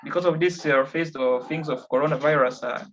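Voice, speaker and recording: Hesitant Congolese student on a muffled call. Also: male voice, on the high side, a little fast, fairly bright tone. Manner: hesitant, many fillers. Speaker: attendee, student. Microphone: video call. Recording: dull video-call audio.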